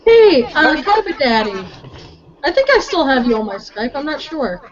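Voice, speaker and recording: A woman's voice: sits high, sing-song, moderate pace, a little hiss or hum. A young woman from Louisiana, streaming her drawing and chatting casually, giggly and easily distracted. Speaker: streamer drawing art live. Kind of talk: casual chat while drawing. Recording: muffled voice chat audio.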